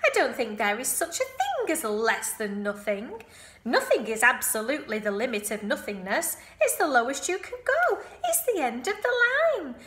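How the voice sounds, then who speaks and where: Storybook pig, young and friendless, high wailing whine, voiced by a woman reader. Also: sing-song, moderate pace, fairly bright tone, roomy sound. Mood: indignant. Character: young male pig, lonely and whiny.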